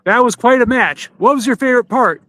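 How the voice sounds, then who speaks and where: A man's voice: very high voice, brisk, fairly bright tone. A man hosting a podcast in casual chat. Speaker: podcast host.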